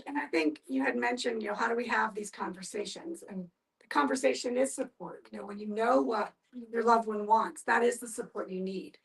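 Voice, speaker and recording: A woman's voice: mid-range voice, fairly quick, gravelly, far from the mic, low-quality recording. A woman giving advice in a group discussion in a direct, explanatory way.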